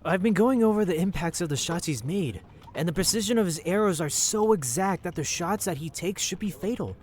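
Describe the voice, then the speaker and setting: An eager police analyst played by a man in an audio drama. His voice is high for a man, earnest and technical, over faint ambient noise. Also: animated, fairly quick, a little mellow.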